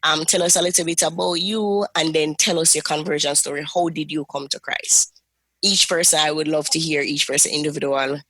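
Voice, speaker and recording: A woman's voice: sits low, fairly even intonation, fairly quick, fairly bright tone, no echo. A woman hosting a faith podcast interview, chatty and enthusiastic. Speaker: podcast host.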